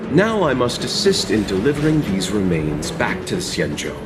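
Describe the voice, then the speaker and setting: An actor playing a courteous, composed wandering merchant in a video game, speaking formally and politely. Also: male voice, lowish voice, wildly swooping pitch, moderate pace, over loud music. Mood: wry, resigned.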